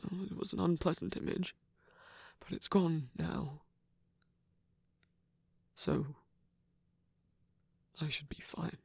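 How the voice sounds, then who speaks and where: A performer voicing a proud, wounded villain who is secretly vulnerable, in a hesitant, breathy ASMR romance roleplay with frequent whispering. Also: medium pitch, dry recording, exaggerated voice. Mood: relieved, fragile. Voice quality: breathy, husky, often whispering. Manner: hesitant, halting, sighing.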